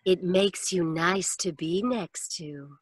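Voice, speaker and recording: Actress as a slow, sultry woman. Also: fairly low pitch, husky, no room sound, clean studio sound. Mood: seductive. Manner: slow, intimate, seductive. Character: sultry, flirtatious woman in a radio ad. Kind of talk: 1940s radio advertisement acting.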